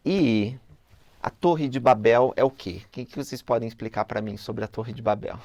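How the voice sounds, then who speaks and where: A man's voice: mid-range voice, normal speed, creaky, darkish tone, dry recording. Man teaching a casual scripture lesson. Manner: conversational, thinks aloud, asks questions.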